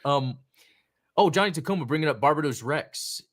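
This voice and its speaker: A man's voice: a little high, animated, moderate pace, a bit bright. A man hosting a casual live-stream chat show, rambling through thoughts and greeting viewers in the chat by name. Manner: rambling, chatty, reads out chat names. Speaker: podcast host.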